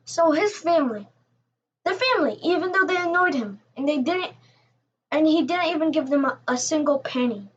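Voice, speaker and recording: A woman with a high voice gives casual commentary on a story. She speaks haltingly, as if thinking aloud, through a slightly dull, lo-fi microphone. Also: lively intonation. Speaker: commentator reacting to a story video.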